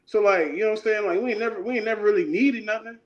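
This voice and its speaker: A man's voice: sits high, rapid-fire. A man in his thirties, a guest artist talking in a laid-back, rambling, slangy way in a casual interview. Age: 30s. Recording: dull lo-fi sound.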